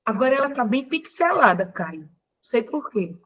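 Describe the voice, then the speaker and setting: Older woman introducing a guest, halting. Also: on the high side, very animated, fairly bright tone, muffled recording. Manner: halting, with frequent fillers and self-corrections. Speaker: professor introducing the speaker. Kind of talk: formal introduction.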